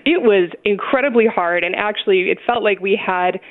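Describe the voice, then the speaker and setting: A woman opera singer, chatty and quick to laugh, being interviewed over the phone. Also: mid-range voice. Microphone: telephone. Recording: tinny phone line.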